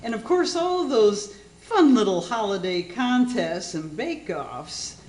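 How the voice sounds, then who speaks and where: A woman's voice: medium pitch, expressive, slow, a little mellow. A woman playing a gushing, sugary celebrity chef auntie with a spiteful streak in a comedic radio play. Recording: echoey community theater stage recording.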